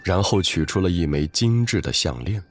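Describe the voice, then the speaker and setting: A man's voice: low-pitched, expressive, normal speed, music in the background. A man reading scripted, dramatic story narration.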